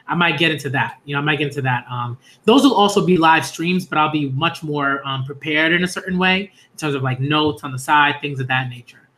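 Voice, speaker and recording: A man hosting a casual live stream about manga, talking loosely with lots of fillers and getting excited and loud at big moments. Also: a little high, brisk, few pauses. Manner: loose, rambling, heavy on fillers and "y'all"; excitable, rising into shouts at story moments. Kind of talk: casual live-stream chat and reading reactions.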